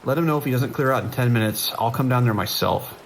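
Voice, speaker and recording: Man playing a gravelly, no-nonsense police dispatcher. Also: medium pitch, fast, a little hiss or hum, natural voice. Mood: dry, weary. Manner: dry, laconic, steady. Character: weary, dry, no-nonsense deputy dispatcher, male. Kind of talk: audio drama phone dialogue.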